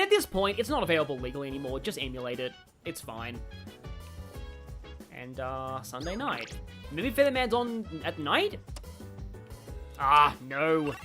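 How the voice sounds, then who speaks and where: A woman's voice: sits low, fairly bright tone. A woman live streaming a game, thinking aloud in a rambling, opinionated way as she chats with viewers. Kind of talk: casual gaming commentary, chatting with viewers.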